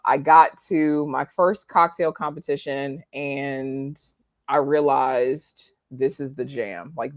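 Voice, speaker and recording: A woman in her thirties, a podcast guest, talking casually in a blunt, deadpan monotone. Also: a bass voice, gravelly, no echo. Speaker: podcast guest, bar owner. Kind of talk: casual chat.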